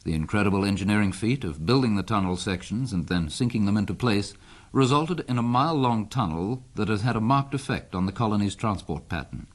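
Male radio newsreader, lower register, scripted narration for a 1970s year-in-review. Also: lively intonation, fairly quick. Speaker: veteran radio news presenter.

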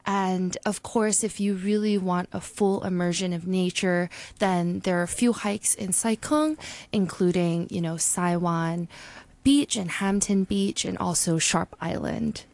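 A woman's voice: lowish voice, fairly even intonation, a little slow, darkish tone, dry recording. A woman from Hong Kong speaking as an interview guest in an informal, agreeable, conversational way.

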